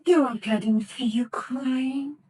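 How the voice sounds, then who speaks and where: A young actress playing a daughter who gently coaxes and comforts her mother, in old-time radio drama style. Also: sits high, slow pace, slightly rough, exaggerated voice. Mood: tender. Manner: gentle, coaxing.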